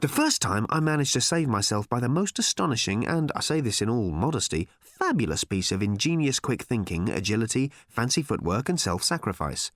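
A man's voice: medium pitch, very animated, a little fast, hardly any pauses, dry recording, studio quality, voice pushed down, natural voice. A man voicing an urgent, testy, self-important space traveller in a storybook reading, snappy and insistent. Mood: smug, cross.

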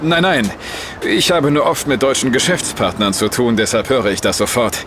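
A man's voice: medium pitch, moderate pace, background noise, natural voice. An actor playing a wealthy, polite businessman who charms a woman he nearly ran over. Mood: charming. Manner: polite, charming, switches into English. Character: wealthy smooth-talking businessman, adult man.

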